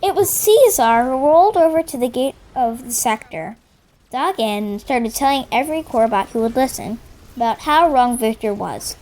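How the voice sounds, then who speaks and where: A woman's voice: a little high, lively intonation, normal speed, a little mellow, poor audio. A woman voicing an earnest female rebel robot, solemnly reading dramatic logs of an uprising. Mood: earnest, reflective. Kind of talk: scripted dramatic log narration. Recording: music behind the voice.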